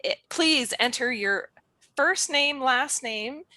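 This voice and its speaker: A woman hosting the show, excitable and a little scattered. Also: sits high, slow pace, dry recording. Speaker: show host.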